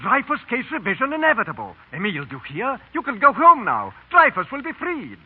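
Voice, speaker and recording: An actor playing an excited, devoted companion, emphatic and breathless in 1930s radio style. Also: mid-range voice, brisk, breathy edge. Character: excited, devoted companion bringing great news. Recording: tinny 1930s radio recording.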